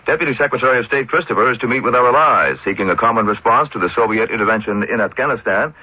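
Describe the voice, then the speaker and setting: A man's voice: medium pitch, rapid-fire, husky, dry recording. An American man anchoring a scripted network radio news bulletin on a dull vintage broadcast.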